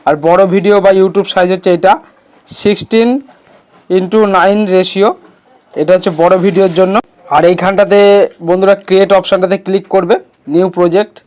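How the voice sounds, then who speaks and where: A man's voice: high-pitched, level tone, fairly quick, long pauses, darkish tone. A man hosting a casual step-by-step tutorial, instructing his viewers like friends. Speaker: tutorial host.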